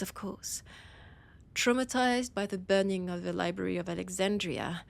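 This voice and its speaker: A woman playing a possessive vampire scholar with a French accent, in a theatrical, breathy audio roleplay monologue with dramatic pauses. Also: medium pitch. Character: annoyed, possessive female vampire scholar, ancient library guide.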